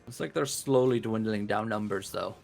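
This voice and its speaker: A man giving relaxed, chatty live play-by-play commentary on an online game battle. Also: fairly low pitch, normal speed, vocal fry, soft background music. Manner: relaxed, chatty, laughs easily. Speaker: esports caster.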